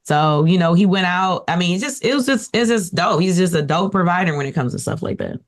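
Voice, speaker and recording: A woman with a raspy voice, talking casually and playfully about her husband on a podcast. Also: fairly low pitch, a little fast. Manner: animated, playful, teasing. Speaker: podcast guest, wife. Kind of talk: casual chat.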